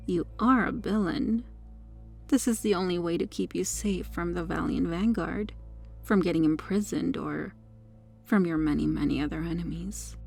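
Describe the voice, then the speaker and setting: Female actor as possessive superheroine kidnapper for ASMR roleplay: dark, relaxed tone with vocal fry, coaxing, sweetly menacing. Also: on the low side, exaggerated voice. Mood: tender, possessive. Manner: coy, smug, coaxing, lingering over words. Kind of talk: ASMR roleplay, intimate soft delivery.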